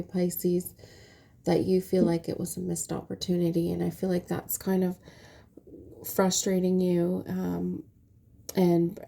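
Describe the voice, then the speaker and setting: A woman with a stuffy nose giving a casual tarot reading, speaking softly and hesitantly with many pauses and fillers. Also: lowish voice, vocal fry. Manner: soft, hesitant, many ums. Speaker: tarot reader.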